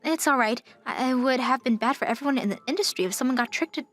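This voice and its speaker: A woman performs a young travel agent in a manga-style drama. She sounds kind and professional, courteous and composed with a gentle firmness. Soft music plays underneath. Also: high-pitched, quick pace, no echo. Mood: sincere. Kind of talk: anime-style manga dub acting.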